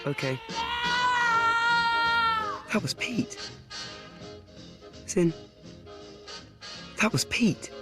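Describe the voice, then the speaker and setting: An actor playing a young nephew who frankly recalls his troubled family in a halting, self-mocking, confiding radio play monologue. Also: on the high side, slightly rough, no room sound, exaggerated voice. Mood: flustered, incredulous. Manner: halting, repeats himself, conversational asides.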